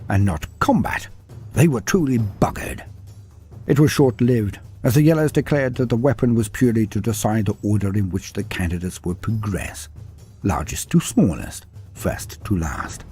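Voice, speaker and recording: A man narrating a scripted story in a dramatic, animated way. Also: medium pitch, breathy edge.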